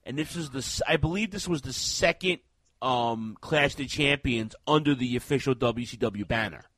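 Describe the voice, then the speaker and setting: A man co-hosting a casual wrestling podcast, talking in a rambling, animated way with many restarts. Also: mid-range voice, normal speed, no echo, poor audio. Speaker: podcast co-host.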